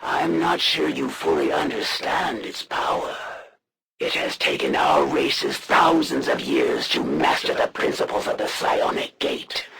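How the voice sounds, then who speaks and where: A man playing a proud, honor-bound alien assassin in a video game, coldly menacing, with formal, haughty, deliberate speech. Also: mid-range voice, gravelly, background noise, full character voice. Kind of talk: scripted video game villain voice lines.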